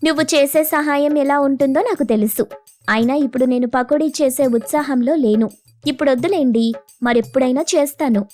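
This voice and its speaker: A woman's voice: high-pitched, fairly even intonation, brisk, warm tone, faint music behind, natural voice. A woman voicing a reluctant, whiny, evasive wife sparrow in a children's cartoon. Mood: stubborn, put-upon. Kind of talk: children's cartoon story dialogue.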